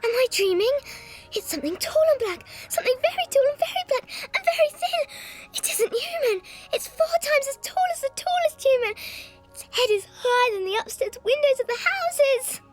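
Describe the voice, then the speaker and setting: A very high, childlike British girl's voice, breathy and full of exclamations. She is a curious, easily frightened little orphan in an audiobook drama, with music behind her. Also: lively intonation, moderate pace. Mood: alarmed.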